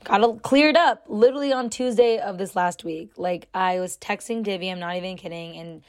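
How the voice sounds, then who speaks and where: A young woman with a raspy, somewhat deep voice hosting her own podcast, talking loudly and rambling with lots of fillers. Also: fairly quick. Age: young adult. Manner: chatty, loud, rambling with many fillers. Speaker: podcast host. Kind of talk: casual solo podcast talk.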